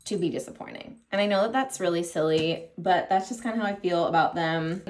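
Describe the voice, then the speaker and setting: Female book vlogger, mid-range voice, informal and rambling, chatting to viewers over faint background noise. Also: medium pitch. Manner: informal, rambling, self-interrupting. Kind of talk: casual chatty vlog to camera.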